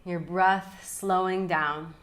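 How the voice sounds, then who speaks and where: A woman leading a guided yoga nidra meditation in a calm, soothing, unhurried way with long pauses. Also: lowish voice.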